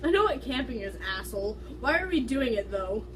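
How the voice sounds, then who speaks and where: Casting: woman as a whiny, sassy young man on a camping trip, fairly high voice, picked up from a distance. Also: big pitch swings, brisk. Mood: irritated. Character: sassy, whiny, clueless male singer. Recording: distant mic, faint background noise.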